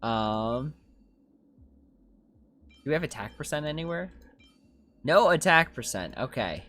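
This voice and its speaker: A streamer comments casually on a game, thinking aloud in slangy phrases. The mid-pitched voice swings animatedly between notes. Faint music plays behind the talk. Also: big pitch swings, a little slow, fairly bright tone. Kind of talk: casual gameplay commentary, reading chat.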